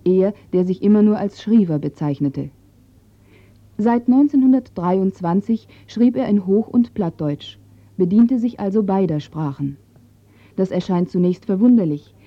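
A woman narrating a radio feature, reading a script in a calm, even way. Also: mid-range voice, normal speed, no echo, lo-fi sound.

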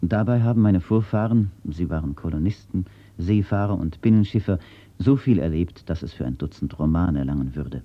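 A man, a writer, reading his own words and reciting nature poetry in a slow, measured, solemn way, from an old archive recording. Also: low voice, expressive, dull audio. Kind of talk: poetry recitation and read-out statements.

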